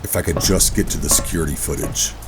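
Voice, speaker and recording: An actor playing a hardened, wary operative who narrates a sci-fi horror audio drama in a brooding, deliberate, terse way. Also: male voice, rumbling low, steady tone, vocal fry. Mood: tense, brooding. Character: hardened covert operative narrating, adult man, wary and steady. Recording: music behind the voice.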